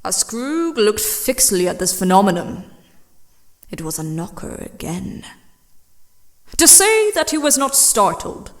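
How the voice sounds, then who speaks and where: A woman narrates a classic tale in a theatrical audiobook style. Her pitch moves widely and she takes her time over each phrase, sometimes sinking to a hushed, breathy delivery. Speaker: audiobook reader and voice actress. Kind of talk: dramatic scripted narration of classic fiction.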